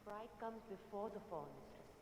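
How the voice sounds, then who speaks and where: A woman's voice: mid-range voice, normal speed, lightly acted. An actress voicing a loyal, watchful handmaid who speaks deferentially to her queen in a game. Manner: deferential, cautious. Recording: game audio with ambient noise.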